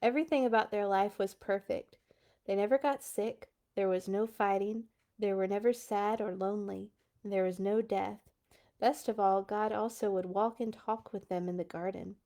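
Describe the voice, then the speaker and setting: A woman's voice: mid-range voice, fairly even intonation, normal speed, warm tone. A woman hosting a children's read-along, reading aloud and adding casual asides.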